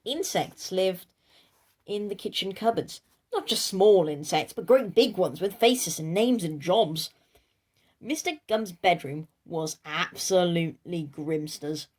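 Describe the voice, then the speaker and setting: A woman's voice: on the low side, expressive, slow, a little mellow. A woman reading a children's storybook aloud in a playful, theatrical way, speaking directly to her listeners. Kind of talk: animated children's storybook narration.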